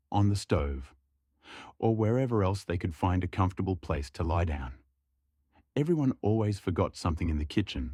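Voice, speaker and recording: A man's voice: low voice, mellow, slightly rough, no room sound, studio quality. A man reading a folk tale as scripted storytelling narration.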